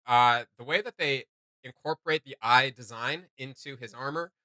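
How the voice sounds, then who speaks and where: A man hosting a casual reaction video, giving gushing, slangy commentary in a halting flow. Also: mid-range voice, sharp tone, no room sound, rough recording.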